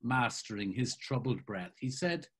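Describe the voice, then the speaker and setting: Expressive husky man in his sixties reading aloud. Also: mid-range voice, a little slow. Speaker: actor and reader. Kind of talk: dramatic literary reading. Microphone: video call.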